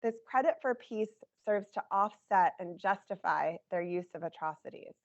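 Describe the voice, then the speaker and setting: A woman presenting her research in a measured academic talk, her voice scratchy, with frequent ums. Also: medium pitch, expressive. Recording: dull lo-fi recording.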